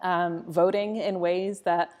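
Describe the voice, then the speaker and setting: A woman's voice: fairly low pitch, level tone, slow, darkish tone. A woman from Costa Rica answering questions in a live, unscripted church conversation, earnest and reflective. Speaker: church staff member.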